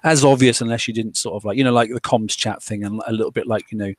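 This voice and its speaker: A man's voice: on the low side, fairly even intonation, fast, no room sound. Rambling man, dry close mic. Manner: rambling, many fillers and restarts. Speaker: podcast host. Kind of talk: casual group discussion.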